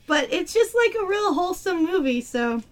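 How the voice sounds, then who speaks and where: A woman with a high voice that has gone a little hoarse, hosting a relaxed movie review chat. She keeps breaking into giggles as she runs through the plot. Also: moderate pace. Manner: giggly, laughs while summarizing. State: hoarse, losing her voice. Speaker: podcast co-host.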